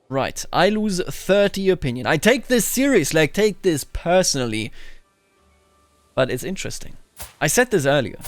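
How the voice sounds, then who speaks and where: A man's voice: a little high, no room sound. A man hosting a roleplay strategy game video, musing aloud in a theatrical way and slipping into character. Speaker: gaming video host. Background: game music.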